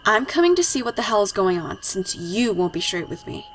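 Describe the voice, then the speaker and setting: A woman's voice: mid-range voice, big pitch swings, brisk. Woman playing impatient young woman, blunt, sceptical, exasperated, audio story acting, noticeable background noise. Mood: determined. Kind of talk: dramatic audio story dialogue. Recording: clearly noisy background.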